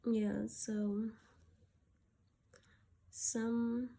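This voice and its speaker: Woman from Peru, soft-spoken, hesitant, slow, mellow tone. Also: fairly high pitch, level tone, gravelly. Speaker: vlogger. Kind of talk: gratitude list monologue.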